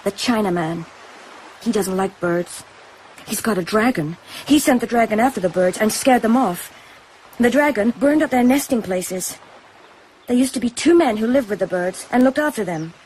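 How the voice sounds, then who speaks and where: A woman's voice: medium pitch, animated, normal speed, darkish tone, a little hiss or hum, natural voice, low-quality recording. An actress playing a wary, defiant young island woman who collects shells, speaking directly and challengingly in a radio drama. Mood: matter-of-fact.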